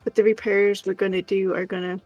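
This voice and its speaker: A woman with a mid-pitched voice co-hosts a livestream in casual, chatty style. The sound is dry and close, with faint music behind her. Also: level tone, brisk, darkish tone, no echo, lo-fi sound. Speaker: livestream co-host. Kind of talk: casual chat, reading out viewer comments.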